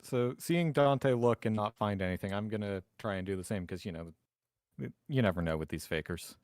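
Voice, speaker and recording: A man's voice: fairly low pitch, brisk, a little mellow, dry recording, studio quality. A man playing a tabletop roleplaying game, slipping casually between chat and speaking for his character.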